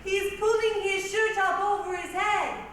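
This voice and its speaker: Woman acting a sly crystal-gazer, very high voice, slow delivery, excitable, theatrical, distant echoing stage recording. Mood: excited. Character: witchy crystal-gazing fortune-teller woman, sly and excitable. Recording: echoey stage recorded from afar, noisy.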